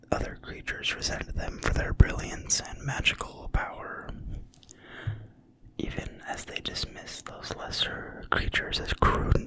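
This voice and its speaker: A narrator reading from a book entirely in a quiet, breathy whisper, slowly and deliberately, in ASMR style. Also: rough recording. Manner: slow, deliberate, hushed reading with pauses. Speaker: narrator reading from a fantasy game manual.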